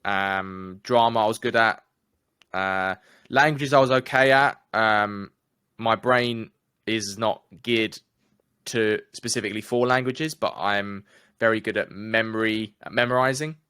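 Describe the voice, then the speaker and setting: A young man with an English accent talks candidly and casually, dropping slang now and then. His voice is smooth and fairly low, recorded close and dry with no room sound. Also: a little slow, sharp tone, dry recording. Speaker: guest. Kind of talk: casual conversation.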